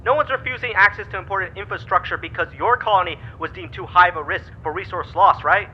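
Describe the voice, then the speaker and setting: An actor playing an indignant colony resident in an audio drama opinion clip, sarcastic and full of rhetorical questions. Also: male voice, fast talker, lightly acted. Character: indignant outer-colony resident airing complaints. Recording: dull radio sound, faint rumble.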